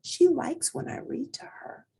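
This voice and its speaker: A woman's voice: a little slow, warm tone, in a whisper, natural voice. A woman voicing an eager, affectionate young schoolgirl in a children's picture book read-aloud. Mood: hushed, pleading.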